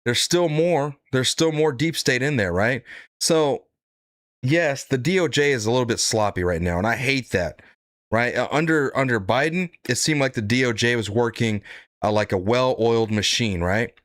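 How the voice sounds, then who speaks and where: A man's voice: mid-range voice, expressive, moderate pace, fairly bright tone, dry recording, studio quality. A man hosting casual political reaction commentary, blunt and profane, rambling with frequent "right?" tags.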